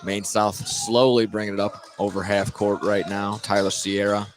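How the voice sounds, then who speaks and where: A man doing live play-by-play of a high school basketball game, often repeating and correcting himself as he goes. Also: little pitch movement. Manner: halting, repeats and corrects himself mid-call. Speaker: play-by-play commentator. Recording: noisy live courtside radio broadcast.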